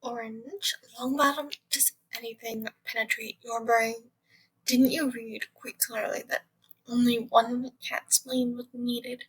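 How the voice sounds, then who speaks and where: A woman playing a stern, scornful male potions teacher with a husky voice and precise, withering delivery. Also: on the high side, flat delivery, slow pace, a bit bright, no echo, exaggerated voice, poor audio.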